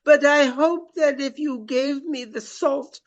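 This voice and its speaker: A pompous Regency gentleman who fancies himself a great tragedian. He declaims very slowly in a high, melodramatic voice, as if in a radio play. The sound is slightly dull. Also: steady tone, full character voice. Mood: self-pleased, amused. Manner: grandiloquent, drawn-out, melodramatic delivery. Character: pompous Regency gentleman, a hammy amateur tragic actor.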